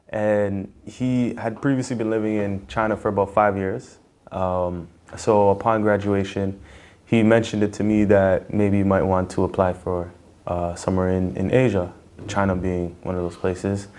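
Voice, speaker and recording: A man's voice: lowish voice, a little mellow. A man from Canada, a foreign teacher supervisor, answering interview questions casually with many fillers and restarts.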